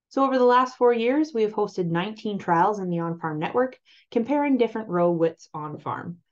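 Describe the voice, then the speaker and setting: Woman explaining soybean trial slides. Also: medium pitch. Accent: Canadian. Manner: clear, explanatory, steady delivery. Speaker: agronomy researcher presenting trial results. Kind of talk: slide presentation walkthrough. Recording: slightly muffled voice-over.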